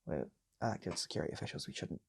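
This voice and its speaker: A man voicing a prim, uneasy security guard in a comic story, protesting in a hesitant, halting mutter. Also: a little mellow, close to an everyday voice. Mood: uneasy, disapproving.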